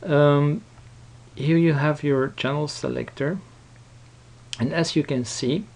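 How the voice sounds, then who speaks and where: A man giving an unscripted software tutorial, explaining step by step in a halting, repetitive way. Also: a little high, steady tone, creaky. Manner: explanatory, halting, repeats himself. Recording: noticeable background noise.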